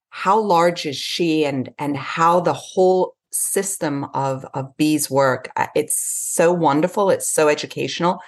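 A woman raised in Switzerland and England, an effusive podcast guest talking about her life. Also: low-pitched. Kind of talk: podcast interview.

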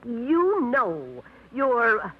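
An actress playing a fussy, prim middle-aged aunt in a 1950s radio drama, prying and fretting, on a dull old radio recording. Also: female voice, a little high, wildly swooping pitch, very slow, slightly rough, exaggerated voice.

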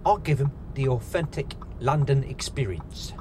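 A woman playing an unrepentant, darkly wry pie-shop owner at the wheel, deadpan and blasé. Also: very deep voice, normal speed. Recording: noisy car interior.

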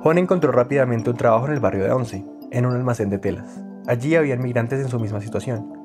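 A male podcast host reads his scripted narration at a brisk pace, with clear, even phrasing. His voice sits at a middle pitch for a man, and soft music plays faintly underneath. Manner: clear, steady, read-aloud delivery.

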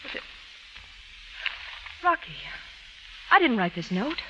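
A woman's voice: on the high side, sing-song, slow, sharp tone, full character voice. An actress playing a frightened woman with a secret, pleading and hesitant, in 1940s radio drama style. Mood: bewildered. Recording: muffled old radio, faint hiss.

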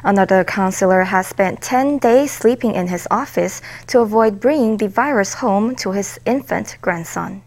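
A woman reading news as an anchor, clear and formal. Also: medium pitch, moderate pace, hardly any pauses, a little mellow. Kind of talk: news report narration.

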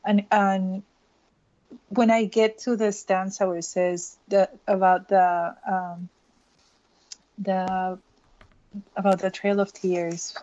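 A woman's voice: medium pitch, level tone, takes it slowly, long pauses, a little mellow, lo-fi sound. A woman from Peru, an illustrator speaking earnestly in an interview and often restarting her phrases. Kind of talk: casual interview.

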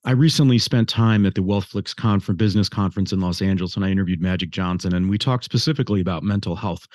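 A man's voice: on the low side, darkish tone, dry recording, studio quality. An older man hosting an interview, asking long, meandering questions full of fillers. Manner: rambling, thinking aloud with many fillers. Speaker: host interviewer.

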